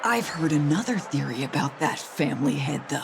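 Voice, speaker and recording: An actor voicing a curious, skeptical man fishing for gossip in a nosy, brisk way. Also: fairly high pitch, animated, creaky, background noise. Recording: game cutscene over loud music.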